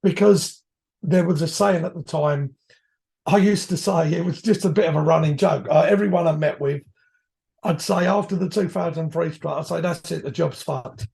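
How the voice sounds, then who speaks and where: A man, hoarse from a cold, a guest reminiscing about his years as a firefighter in rambling, self-correcting talk. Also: on the high side, normal speed, darkish tone. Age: 50s. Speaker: podcast guest, retired firefighter. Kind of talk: reflective conversational storytelling.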